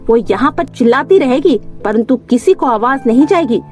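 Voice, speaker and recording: A woman voicing a jealous, scheming young woman who feigns sweetness in an animated story, speaking in a measured way. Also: sits high, soft background music. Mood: vengeful. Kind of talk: Hindi animated fairy tale voice acting.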